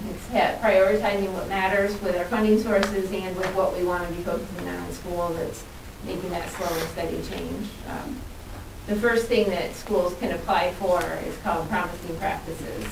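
A woman with a slightly low voice presents as a school administrator, explaining things informally. She is recorded at a distance in an echoey room, with clear hiss behind her. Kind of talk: spoken presentation at a board meeting.

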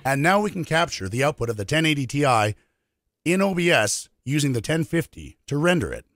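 A man in his thirties with a cold, hoarse voice, chatting through a tech tutorial step by step in a small home studio. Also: on the high side, big pitch swings, brisk, a bit bright, faint music behind. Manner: chatty, explains step by step with fillers. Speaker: streaming tech YouTuber. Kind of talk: casual tech tutorial to camera.

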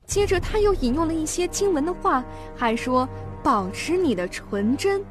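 A cheerful, naive young daughter in a radio drama. Her voice is high and her manner chatty and innocent. Soft music sits behind her. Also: lively intonation, moderate pace. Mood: chatty, excited.

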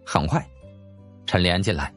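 A man reading a novel as scripted audiobook narration in an animated, dramatic way. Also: low voice, unhurried, faint music behind.

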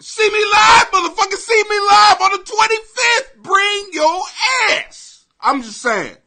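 A middle-aged American man hosting a comedy podcast in a brash, profane, excitable rant that often rises to shouting. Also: very high voice, unhurried, creaky. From Greenwich, Connecticut, United States. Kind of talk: ranting comedy podcast monologue.

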